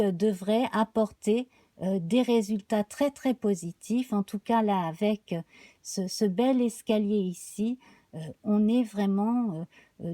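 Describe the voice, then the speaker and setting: A woman reads tarot cards for a live audience, her voice slightly hoarse. She speaks slowly and haltingly, filling pauses with small sounds, in a warm, mellow tone. The recording is dry and close. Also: medium pitch, no room sound. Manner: slow, halting, many fillers. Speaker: tarot reader. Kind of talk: live tarot card reading.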